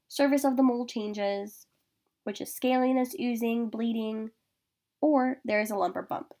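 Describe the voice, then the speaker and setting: A woman's voice: a little high, darkish tone. A young woman giving an informational class presentation over slides, congested from a sinus infection and reading steadily through lists of facts. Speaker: student presenter.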